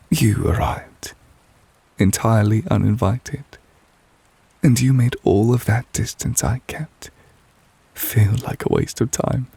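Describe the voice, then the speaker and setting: Man voicing devoted romantic witch lover, ASMR roleplay, lowish gentle voice, creaky edges, soft, wistful, possessive, close-miked. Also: no echo, exaggerated voice. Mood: intense devotion. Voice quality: soft, breathy, husky. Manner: intimate, measured, gentle, wistful. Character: devoted, tender, possessive male witch lover, softly proposing. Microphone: close, dry microphone.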